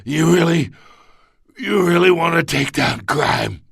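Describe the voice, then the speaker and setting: An actor playing an older, blustering crime boss in a video game, coarse and swaggering, with a gravelly voice. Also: male voice, on the high side, animated, a little slow, fairly bright tone, no room sound, clean studio sound, heavily acted. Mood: grudging, strained.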